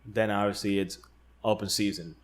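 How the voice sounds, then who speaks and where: Low-pitched man in casual discussion. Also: fairly even intonation, normal speed. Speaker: podcast co-host. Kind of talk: casual fan discussion and speculation.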